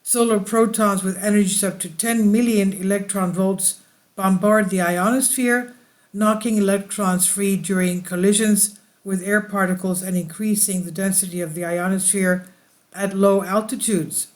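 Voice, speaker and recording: A host reading space weather news aloud in a halting way, stumbling over some words. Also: mid-range voice, fairly even intonation. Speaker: video channel host.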